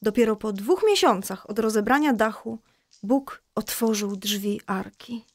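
A woman playing a patient mother who explains a Bible story to children in a children's audio drama, reassuring and clear. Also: mid-range voice, unhurried, no room sound. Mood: patient, explaining.

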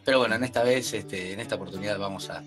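A man's voice: fairly low pitch, very fast. A man in his thirties with an Argentine accent, explaining things in casual chat on a video call. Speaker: guest camping video creator. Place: indoor room, video call. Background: music.

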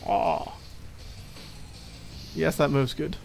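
A man playing a needy young gamer boyfriend in an ASMR roleplay, halting and teasing, with playful exasperation as he explains a game. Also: on the high side, vocal fry, faint music behind, exaggerated voice. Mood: casual explaining. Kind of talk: ASMR boyfriend roleplay, improvised-sounding casual chat.

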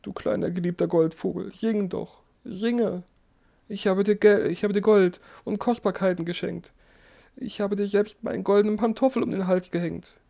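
A man voicing a frightened, dying old emperor in a storybook reading, calling out in a high, strained voice. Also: fairly even intonation, normal speed, vocal fry, mellow. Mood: desperate pleading. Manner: high strained cries, pleading.

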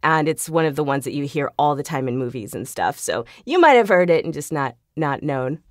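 Female podcast guest, lower register, casual and self-deprecating storyteller, very dry room. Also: sing-song, a little fast, no echo. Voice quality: trained singer. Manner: informal, self-deprecating, often laughing. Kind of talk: casual interview chat, personal anecdotes.

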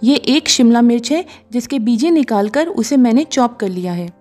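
Calm woman narrating a recipe. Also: fairly high pitch, moderate pace. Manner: calm, instructive, mixes English words into Hindi. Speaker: cooking show host. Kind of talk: step-by-step recipe voice-over. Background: soft background music.

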